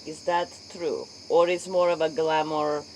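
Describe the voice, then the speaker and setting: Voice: medium pitch, level tone, unhurried, darkish tone. An interviewer in an improvised mock interview, echoing and paraphrasing what the guest says. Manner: echoes and paraphrases the guest's answers. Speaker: late-night interviewer. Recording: faint noise, crickets outdoors.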